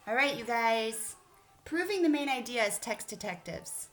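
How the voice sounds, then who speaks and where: A woman's voice: on the high side, lively intonation, moderate pace, a bit bright, faint music behind. A woman teacher speaking to children in an encouraging, instructive way as she leads a read-aloud lesson. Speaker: elementary school teacher.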